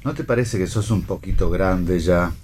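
An Argentine man playing a gruff, needling father in a radio play reading, with a husky voice. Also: sits low, moderate pace, darkish tone, a little hiss or hum, lightly acted. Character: gruff, needling father and husband, forgetful with names. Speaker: actor in a read-theatre radio play.

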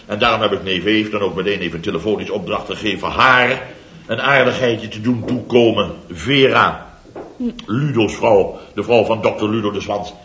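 A man's voice: fairly low pitch, bright, forceful tone, a little hiss or hum. An actor playing a pompous, self-important middle-aged construction company boss who chuckles through his own boastful stories, in 1960s radio comedy style. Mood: smug. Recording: dull roomy old radio recording.

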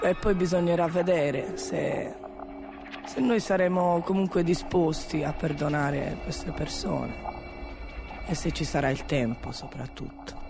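A man plays a hurt, waiting son in an audio drama. His voice is slightly high but creaky, and he talks slowly and hesitantly, going back over phrases. Music runs behind him. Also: fairly even intonation. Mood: resigned, hurt. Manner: hesitant, repeating himself.

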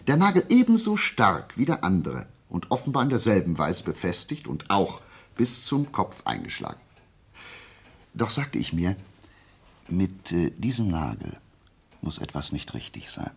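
An actor playing a methodical, self-assured amateur detective in a radio play, gravelly and husky, explaining with deliberate, didactic emphasis. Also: male voice, deep voice, huge pitch swings, full character voice. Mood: intent, assured.

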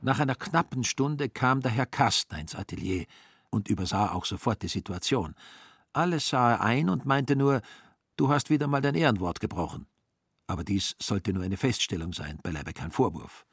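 A man narrating a literary radio play with dry irony in a slightly husky voice. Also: lowish voice, animated, moderate pace, dry recording. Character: dry, ironic male storyteller of a literary tale.

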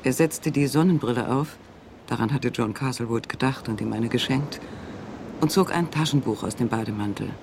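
Calm male radio-play narrator. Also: mid-range voice, vocal fry, noisy background. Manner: calm, measured storytelling. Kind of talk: scripted literary narration.

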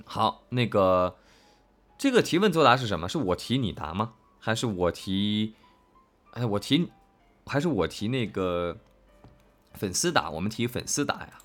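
A man's voice: fairly low pitch, sing-song, moderate pace, pauses often, faint music behind. A man co-hosting a casual chat about an audio drama as one of its voice actors, effusive and rambling, repeating himself for emphasis. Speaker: voice actor co-hosting a fan talk.

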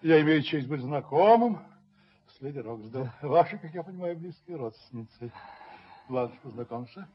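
A man's voice: a little high, lively intonation, normal speed, husky. An actor playing a stately, self-important, middle-aged father in a radio play, speaking with formal, commanding courtesy. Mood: formal, courteous. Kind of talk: theatrical radio drama acting. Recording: dull, noisy 1970s radio recording.

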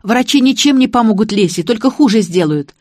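A woman's voice: fairly high pitch, expressive, fairly quick, dry recording, clean studio sound, voice pushed up. A woman voicing an anxious, affectionate elder sister in an audiobook, speaking urgently and emphatically. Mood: urgent, pleading. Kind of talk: audiobook character voice.

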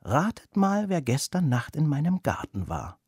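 A man's voice: a little high, wildly swooping pitch, moderate pace, darkish tone, slightly rough, no room sound, clean studio sound, voice pushed up, full character voice. A man voicing a kindly, playful old grandmother in a children's storybook, patient and teasing. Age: older.

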